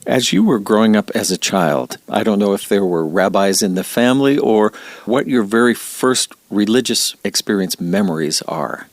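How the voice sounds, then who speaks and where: A man hosting an interview, asking questions in a gentle, conversational way. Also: fairly low pitch, animated, normal speed, dry recording. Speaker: interview host.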